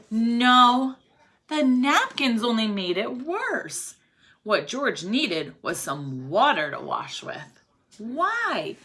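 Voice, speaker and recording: A woman's voice: a little high, sharp tone, slight background noise. A woman reading a story aloud to young children, expressively, often prompting them with questions and counting along. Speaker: teacher reading a picture book aloud to children.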